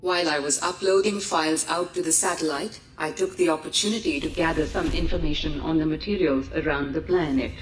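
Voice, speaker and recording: A woman voicing the calm female AI of a handheld device, speaking in-game lines in an informative manner. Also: deep voice, a little fast, hardly any pauses, echoey room, soft background music, close to an everyday voice, rough recording. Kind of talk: in-game dialogue.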